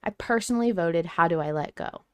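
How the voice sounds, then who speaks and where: Female fan-podcast host, slightly low register, effusive delivery, dry sound. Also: fairly quick, clean tone, no room sound. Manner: effusive, gushing praise. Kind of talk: casual fan podcast commentary.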